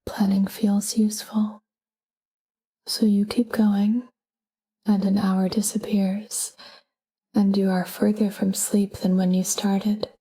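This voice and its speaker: A woman narrating a scripted sleep meditation in a calm, gentle, whispery voice with measured delivery. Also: mid-range voice, rough recording. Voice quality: breathy, whispery. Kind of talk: scripted guided sleep meditation, soft spoken.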